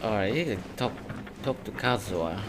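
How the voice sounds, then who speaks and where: A man's voice: lowish voice, animated, normal speed, over loud music. A man giving casual commentary as he plays a game. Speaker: game player. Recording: loud noise over the voice.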